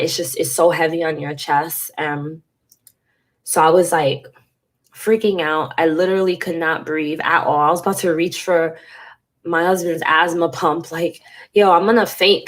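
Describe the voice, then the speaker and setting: A woman's voice: sits low, steady tone, normal speed. A woman vlogger with a congested, stuffy voice, talking frankly and emotionally to camera and swearing casually. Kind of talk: candid talk to camera.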